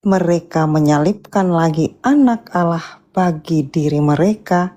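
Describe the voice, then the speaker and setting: Slow, mellow woman reading scripture. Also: on the low side. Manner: deliberate, phrase by phrase. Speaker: scripture reader assisting the preacher. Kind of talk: reading Bible verses aloud.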